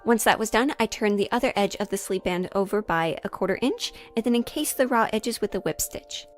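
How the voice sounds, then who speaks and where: A woman's voice: mid-range voice, brisk, faint music behind. A woman talking casually and informally as she walks through a craft tutorial, like a vlogger. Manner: chatty, informal, mild swearing. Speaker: sewing vlogger. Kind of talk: casual craft tutorial chat with voice-over narration.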